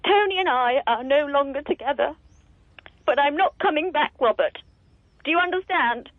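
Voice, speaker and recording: An actress playing a blunt, resentful estranged wife in a radio comedy drama, speaking reproachfully. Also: female voice, high-pitched, lively intonation, normal speed, dry recording. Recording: tinny, phone-like, dry.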